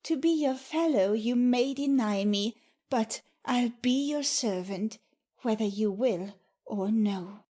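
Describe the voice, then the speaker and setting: A woman plays a tender young maiden in a Shakespeare audiobook. Her voice is high and soft-edged, mellow in tone, and she takes the verse slowly and earnestly, with innocent devotion. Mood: lovestruck, devoted. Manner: slow, earnest delivery of verse. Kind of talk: dramatic Shakespeare audiobook reading.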